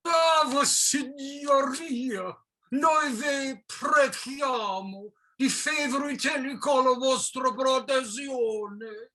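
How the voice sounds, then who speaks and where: A woman's voice: high-pitched, drawn-out words, bright, forceful tone, exaggerated voice. A woman playing a pushy, effusive musician, declaiming in a period stage comedy. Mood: effusive, insistent. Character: effusive, pushy Italian musician pleading for patronage. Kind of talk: theatrical period comedy play reading.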